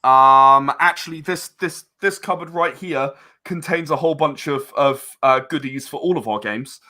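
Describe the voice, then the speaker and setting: A man's voice: on the high side, moderate pace, bright, forceful tone. A man chatting casually as an interview guest, hesitant and self-correcting, earnest and polite. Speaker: interview guest, game publisher and audio drama creator.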